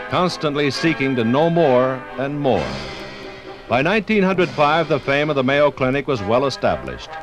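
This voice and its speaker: A man with an American accent reading scripted 1950s radio documentary narration in a formal, measured way with dramatic emphasis. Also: medium pitch, poor audio. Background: faint music.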